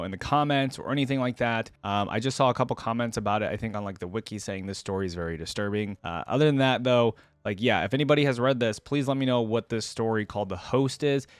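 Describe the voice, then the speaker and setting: A man's voice: mid-range voice, fast, hardly any pauses, clean tone, dry recording. A man hosting a reaction video, speaking in an informal, chatty way as he comments and reads along. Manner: informal, chatty, with exclamations and questions. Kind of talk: casual reaction commentary with some reading aloud.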